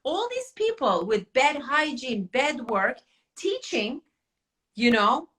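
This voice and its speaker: Woman host with a Turkish accent, animated and quick to laugh, bright tone. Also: high voice. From Turkey. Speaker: academy owner and podcast host. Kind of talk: casual podcast chat.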